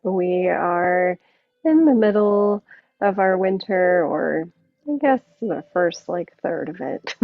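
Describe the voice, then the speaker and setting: A woman yoga teacher gently guiding a relaxation practice, unhurried and leaving long pauses between phrases. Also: lowish voice, lively intonation, dry recording, soft background music. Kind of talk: guided yoga and relaxation instruction. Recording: muffled narrow phone-like sound.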